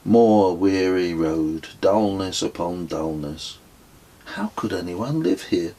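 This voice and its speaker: Deep, husky man narrating slowly. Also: dark tone. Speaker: author reading own travel memoir. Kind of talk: scripted audiobook narration.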